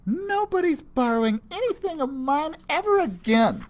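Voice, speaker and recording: A prickly little pirate girl, voiced by a man, squeamish and fussy. The voice sits far up in pitch and belongs to a children's storybook. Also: sing-song, normal speed. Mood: indignant.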